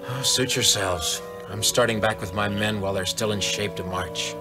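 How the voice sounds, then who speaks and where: A man's voice: fairly low pitch, normal speed, close to an everyday voice. A man playing a stern, exasperated army major in a 1950s audio adventure, curt and complaining. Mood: weary, exasperated. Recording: 1950s record drama, music behind.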